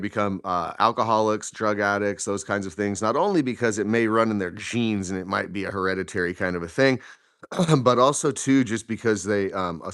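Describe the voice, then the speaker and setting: A man with a hoarse, deeper morning voice, chatting casually to his viewers while he walks outdoors with his phone, rambling with lots of fillers and questions. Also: fairly bright tone, no echo, studio quality. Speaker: vlogger. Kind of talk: casual talk to camera on a walk. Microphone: phone held while walking.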